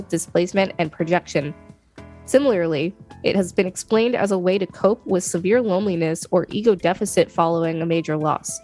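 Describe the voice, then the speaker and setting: A woman co-hosting a podcast, reading an encyclopedia article aloud in a measured, clear way. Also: on the low side, a little mellow. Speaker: podcast co-host and reader.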